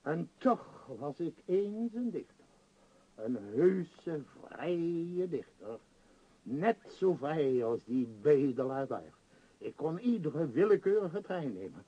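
Husky, impassioned, bitter middle-aged tycoon. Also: male voice, a little high, very animated, slow, lo-fi sound, heavily acted. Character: powerful, overwrought tycoon longing for his lost freedom. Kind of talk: theatrical radio play acting.

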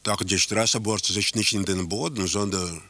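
Radio play narrator, gravelly n slow, detached, deliberate, bleak surreal story. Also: male voice, lowish voice, steady tone, sharp tone, no room sound, exaggerated voice. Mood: ominous, detached. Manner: deliberate, detached, descriptive delivery. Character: male narrator of a bleak, surreal travelling salesman tale. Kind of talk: scripted literary radio drama narration.

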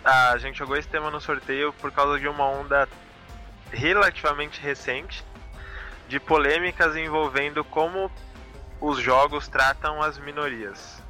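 A man's voice: a little high, fairly even intonation, unhurried, sharp tone, soft background music. A man with a Brazilian accent chatting casually as co-host of a live show. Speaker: podcast co-host.

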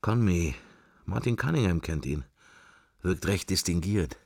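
Musing sceptic, very low voice, creaky, wry, clipped phrases, radio drama interior monologue. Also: male voice, lively intonation, a little slow, a little mellow, no echo, clean studio sound, full character voice. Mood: wry, speculative. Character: wry, musing male sceptic, inner thoughts while observing church.

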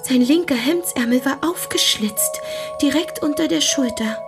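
A woman acting in a German radio drama as an overwrought deaconess testifying to police, halting and agitated. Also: high-pitched, music in the background. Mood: hushed, uneasy. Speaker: actor in a radio play.

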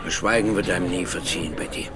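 An actor playing an ageing absent father in an audio drama, weary, guarded and unrepentant, with a grave, measured delivery. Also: sits low, over music. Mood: grave, resigned. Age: older.

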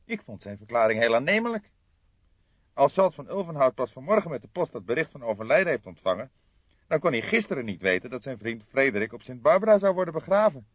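A testy younger male detective, put on by a man narrating an audiobook. His voice sits raised and animated, insistent and puzzled as he argues. The recording is close and a little dull. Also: mid-range voice, fast talker, no echo, voice pushed up. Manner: insistent, irritated, questioning. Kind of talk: audiobook character voice.

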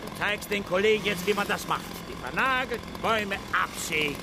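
A man's voice: high voice, brisk, heavy background noise. An actor playing a domineering, abusive forestry boss who bullies his workers in a radio drama. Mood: menacing.

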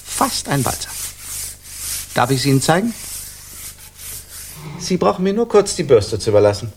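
An actor playing an eccentric, confused composer in a German radio drama, speaking in a halting, musing, courtly way. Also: male voice, mid-range voice, sing-song, a little hiss or hum. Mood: flirtatious. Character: eccentric, confused amnesiac male composer in 1917 Paris.

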